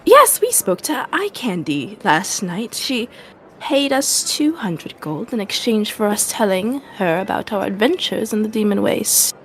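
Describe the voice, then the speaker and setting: Wry, lively fantasy adventurer played by a woman. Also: medium pitch, a little slow, a little hiss or hum, low-quality recording. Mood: wry, recounting. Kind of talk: tabletop roleplay.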